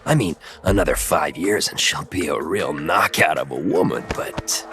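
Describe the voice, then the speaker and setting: A man's voice: a bass voice, animated, normal speed, music in the background. A man playing a wry, teasing soldier comrade in a video game, casual and ribbing.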